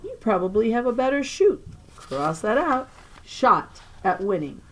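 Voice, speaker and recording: A woman's voice: a little high, sing-song, unhurried, pitched above the performer's own voice, poor audio. A woman voicing an earnest young schoolgirl in a children's novel, carefully dictating and correcting a letter. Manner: careful dictation with self-corrections, spelling out words.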